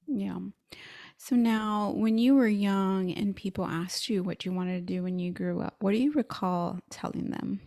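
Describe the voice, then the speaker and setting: A woman's voice: mid-range voice, a little slow, warm tone, no echo, studio quality. A woman hosting a career podcast, upbeat and encouraging as she introduces guests and asks questions. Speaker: podcast host, career coach. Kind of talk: scripted intro then casual interview.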